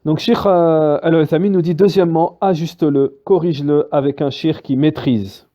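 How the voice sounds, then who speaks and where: A man gives a spoken religious lesson in a fairly high voice. He is lively and often stops on fillers while he explains. The sound is dull and lo-fi. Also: normal speed. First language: Arabic-Islamic terms mixed into French. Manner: lively, acts out dialogues, many fillers. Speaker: religious teacher. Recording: dull lo-fi recording.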